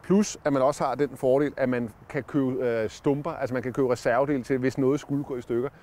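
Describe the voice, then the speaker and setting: A man's voice: medium pitch, steady tone, darkish tone. A man in his forties giving a casual product review on a campsite lawn, talking with many fillers and restarts into a lapel mic. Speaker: product tester.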